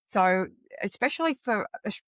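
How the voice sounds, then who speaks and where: A woman's voice: mid-range voice, expressive, measured pace, dry recording, clean studio sound. A woman with an Australian accent, chatting as a podcast guest and giving practical advice in a conversational way. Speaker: podcast guest, travel coach.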